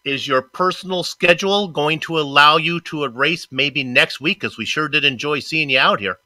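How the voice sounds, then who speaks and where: A man hosting a sim racing broadcast, upbeat and energetic in his announcer delivery. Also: on the high side, normal speed, no room sound. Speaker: broadcast commentator and host. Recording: music bed playing under voice. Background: loud music.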